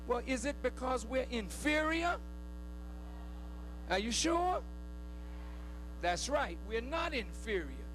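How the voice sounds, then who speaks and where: A male preacher with a very high, straining pitch delivers fiery oratory at a slow, deliberate pace. He breaks his thoughts into short emphatic phrases and presses listeners with questions, with music clearly heard behind him. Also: rough recording. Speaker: preacher addressing a congregation. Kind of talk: fiery oratory, sermon-like public speech.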